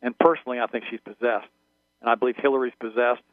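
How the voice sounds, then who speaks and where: A man's voice: medium pitch, steady tone, fast talker, no room sound. A man whose first language is German, a guest on a radio call-in interview, rambling and often repeating words and restarting. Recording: tinny phone line, dry.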